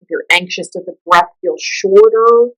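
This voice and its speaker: A woman leading a guided meditation, giving gentle instructions in a soothing, unhurried and conversational way. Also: medium pitch, rough recording.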